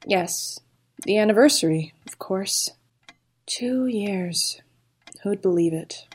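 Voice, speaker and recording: A woman's voice: mid-range voice, lively intonation, slow pace, husky. An actress playing a haunted, grieving mother in an audio drama, brittle, wistful and insistent. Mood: sorrowful, confused.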